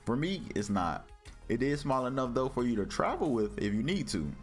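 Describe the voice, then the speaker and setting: A man with a deep voice giving a scripted product review from home, casually addressing his viewers as y'all, recorded on a budget USB desk mic. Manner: casual, calls the audience y'all. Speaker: tech reviewer. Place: home room with carpet and a PC. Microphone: budget USB condenser desk mic.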